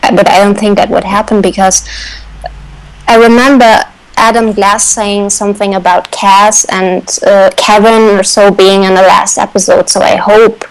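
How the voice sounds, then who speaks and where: Medium-pitched woman chatting casually. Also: level tone, normal speed, rough recording. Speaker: radio show panelist. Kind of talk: casual fan discussion.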